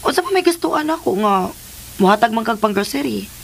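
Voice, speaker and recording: An actress playing a young, affectionate and proud pregnant wife in a radio drama. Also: female voice, on the high side, lively intonation, fairly quick, creaky, husky, heavily acted. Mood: affectionate, teasing.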